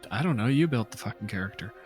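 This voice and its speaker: A man's voice: on the low side, lively intonation, fast, soft background music. A man running a tabletop game as game master, narrating and explaining rulings in a casual, profane way.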